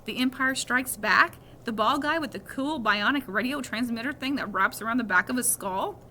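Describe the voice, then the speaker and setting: Storybook role: jokey, reassuring male doctor read by a woman, bright delivery, patient explanations. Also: a little high, normal speed, a little hiss or hum. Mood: upbeat explaining.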